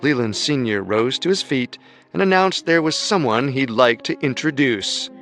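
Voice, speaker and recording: A man narrates a historical mystery in a calm, deliberate voice at a mid pitch. Faint music plays underneath. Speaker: narrator and co-host. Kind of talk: scripted documentary narration.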